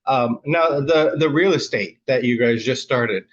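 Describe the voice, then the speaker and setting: A man's voice: mid-range voice, measured pace, lo-fi sound. A man hosting a podcast, reading an upbeat scripted intro and then asking short follow-up questions. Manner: upbeat promotional read, then brief affirmations. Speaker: podcast host.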